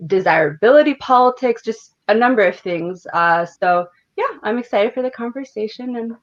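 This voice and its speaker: A woman organizer from the United States, speaking on a panel in a thoughtful, measured way with many fillers. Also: mid-range voice. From United States, Detroit and Northern Virginia. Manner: thoughtful and measured, with frequent "um" and "you know". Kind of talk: panel discussion remarks. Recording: dull lo-fi audio.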